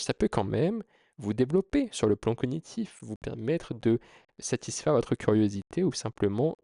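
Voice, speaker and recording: A man's voice: mid-range voice, vocal fry, dark tone, no echo, studio quality. A man speaking as a video creator, explaining informally to viewers in an earnest, persuasive way with emphatic phrasing. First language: French. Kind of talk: informal explanatory talk to camera.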